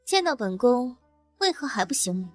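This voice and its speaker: A woman's voice: a little high, big pitch swings, moderate pace, faint music behind. A woman playing a proud, guarded young imperial princess in a Chinese period drama, haughty and curt. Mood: angry, exasperated. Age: young adult.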